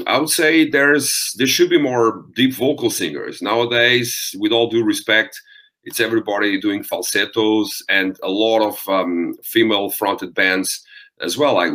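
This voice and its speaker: Deep-voiced Portuguese metal singer for an interview, low and bright, hesitant pauses. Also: male voice. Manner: thoughtful, frequent ums and restarts. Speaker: metal vocalist guest. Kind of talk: interview conversation.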